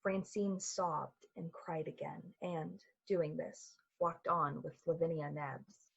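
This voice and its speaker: A woman's voice: sits low, fairly even intonation, slow pace, creaky, breathy edge, muffled recording, exaggerated voice. A woman playing the solemn storyteller of an eerie radio play, narrating a scripted tale. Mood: solemn, hushed.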